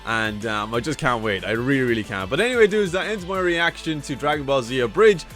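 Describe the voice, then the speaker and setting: A man's voice: medium pitch, lively intonation, fairly quick, fairly bright tone, faint music behind. A man hosting a reaction video in casual, enthusiastic, informal chat.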